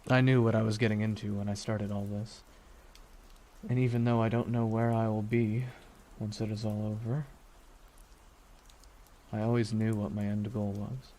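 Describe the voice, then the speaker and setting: A man acting a weary, regretful supervillain in an ASMR audio roleplay, speaking slowly and reflectively with pauses. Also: fairly low pitch, little pitch movement, dark tone, a little hiss or hum, lightly acted. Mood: regretful, resigned. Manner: slow, reflective, pausing delivery. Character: weary, regretful male supervillain beside his dying nemesis.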